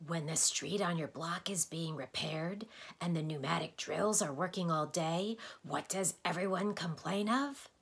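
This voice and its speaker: A woman's voice: lowish voice, a little slow, husky. A woman voicing a blustering, easily exasperated male doctor in a storybook, lecturing and quizzing emphatically. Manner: emphatic, questioning, declamatory.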